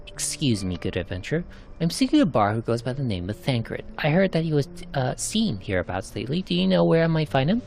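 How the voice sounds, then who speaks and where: A man voicing a courteous, earnest investigator in a fantasy game, with deliberate formal speech. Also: medium pitch, sing-song, gravelly, darkish tone, a little hiss or hum. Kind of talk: amateur narrated fantasy game cutscenes. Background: music.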